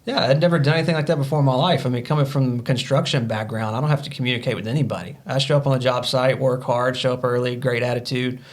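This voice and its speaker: A man's voice: medium pitch, steady tone, fast talker, few pauses. Guy in his 30s on a podcast, earnest motivational talk, lots of you-knows. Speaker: podcast guest. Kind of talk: casual podcast conversation.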